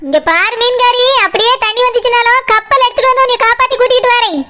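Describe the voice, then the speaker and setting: A woman acts out a bossy woman house owner from a comic cartoon, in a very high, bright, pitched-up voice. She scolds with irritable sarcasm in a Kanyakumari Tamil accent, over some faint noise. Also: fairly even intonation, quick pace. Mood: stern, annoyed.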